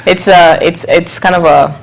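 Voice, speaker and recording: Low-pitched woman hosting an interview. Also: fairly even intonation, moderate pace, low-quality recording. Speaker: TV interview host. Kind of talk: conversational interview.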